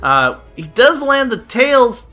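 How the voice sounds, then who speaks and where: A man's voice: very high-pitched, big pitch swings, slow, bright, forceful tone. A young man giving chatty play-by-play commentary on a card game, slightly nasal from a cold, with lots of "uh" fillers. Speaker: gaming YouTuber. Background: background music.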